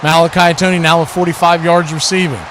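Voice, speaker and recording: A man calling American football play-by-play live on a stream, excitable and speaking in punchy, clipped phrases. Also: a little high, quick pace, noisy background. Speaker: play-by-play commentator on a livestream watch party.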